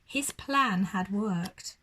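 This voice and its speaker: A woman's voice: mid-range voice, drawn-out words, a bit bright, breathy edge. A woman reading a children's bedtime story expressively, like a primary school teacher addressing young children.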